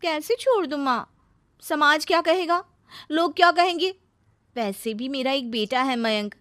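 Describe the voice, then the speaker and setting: High, plaintive young wife acted by a woman. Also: unhurried, fairly bright tone, dry recording. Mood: distressed. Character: restless, lovestruck, troubled young married woman.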